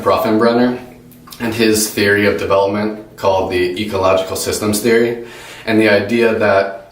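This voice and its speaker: Young man, low voice, halting talk full of ums, echoey room, heard from a distance. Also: slight background noise. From United States. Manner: hesitant, many ums and self-corrections. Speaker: student tutor presenting. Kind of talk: informal class presentation.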